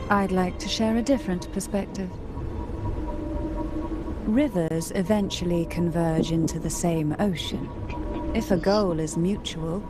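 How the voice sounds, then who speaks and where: A woman voicing a calm, cultured game noblewoman who speaks in a formal, measured, eloquent way over music. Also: fairly low pitch, natural voice. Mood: measured, knowing. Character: poised, cultured noblewoman of secretive schemes, calm and knowing. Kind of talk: video game voice acting, scripted dialogue.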